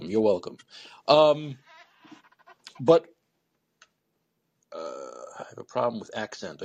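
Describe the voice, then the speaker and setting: Animated, mellow-voiced male talk host. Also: fairly low pitch, creaky, breathy edge. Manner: blunt, sarcastic, rambling, swears freely. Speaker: host of a call-in talk show. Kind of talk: opinionated live talk radio monologue.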